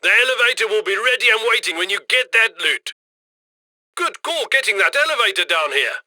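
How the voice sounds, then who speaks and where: A man playing a brash, upbeat heist crew handler in a video game, giving brisk, cocky commands. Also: sits high, animated, sharp tone, no room sound, clean studio sound, heavily acted. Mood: pleased, cocky. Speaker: mission handler giving instructions over comms.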